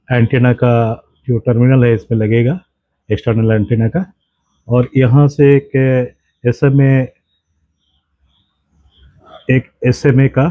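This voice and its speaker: A man's voice: mid-range voice, dry recording. Indian man explaining, dull dry recording. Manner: halting, explanatory, repeats and corrects himself. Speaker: presenter of a radio hobby channel. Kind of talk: unscripted product demonstration.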